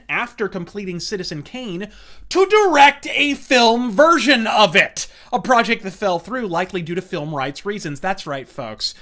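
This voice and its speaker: A man's voice: high voice, bright, forceful tone. A man delivering scripted review commentary as a host, bombastic, sardonic, wordy and profane. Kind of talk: scripted rant-style commentary.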